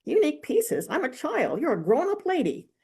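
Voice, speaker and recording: A woman voicing a serious, sharp-witted twelve-year-old girl in a storybook, blunt and grumbling. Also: sits high, wildly swooping pitch, normal speed, pitched above the performer's own voice, full character voice. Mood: indignant.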